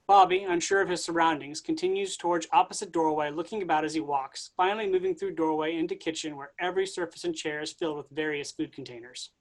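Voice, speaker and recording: A man reading stage directions aloud in a staged play reading, steady and plain. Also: a little high, quick pace, hardly any pauses, low-quality recording.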